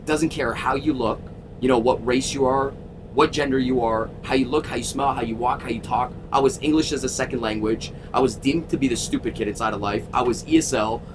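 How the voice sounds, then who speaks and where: A man in his thirties from Toronto, a sales coach telling his story in a casual interview, energetic and emphatic, swearing freely. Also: fairly quick. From Toronto, Canada. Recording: constant vehicle rumble.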